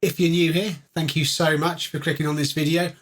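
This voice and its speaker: A man's voice: on the high side, flat delivery, a little fast, a bit bright. A man hosting a livestream, talking casually and directly to his viewers. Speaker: host of a livestream channel.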